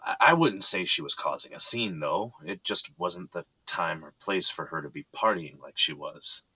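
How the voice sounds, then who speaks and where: A man's voice: low-pitched, lively intonation, moderate pace, bright, forceful tone, slightly rough, no echo, full character voice, rough recording. An actor playing a composed, evasive but polite businessman in an audio drama. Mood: guarded, defensive.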